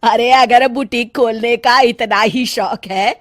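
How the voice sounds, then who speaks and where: Woman voicing a shrill scolding old mother-in-law. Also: steady tone, takes it slowly. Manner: scolding, shouting insults. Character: bossy harsh older mother-in-law. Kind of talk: storybook character voice.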